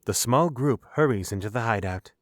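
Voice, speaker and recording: A man's voice: mid-range voice, lively intonation, moderate pace, dry recording, studio quality. A man reading scripted narration for a fantasy audio drama.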